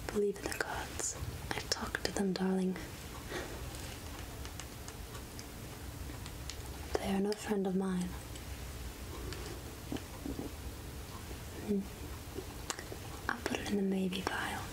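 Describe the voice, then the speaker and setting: A woman playing a soothing, wry outcast witch healer in a soft, whispered ASMR roleplay, speaking gently with musing pauses. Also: mid-range voice, level tone, noisy background, close to an everyday voice.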